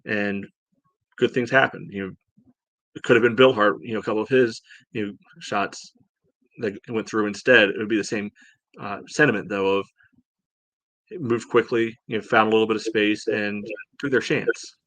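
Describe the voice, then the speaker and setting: Low-voiced man talking soccer, halting delivery. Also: fairly even intonation, fairly bright tone, no room sound, rough recording. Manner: halting, rambling delivery peppered with "you know". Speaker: soccer podcast host. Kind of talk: casual sports analysis chat.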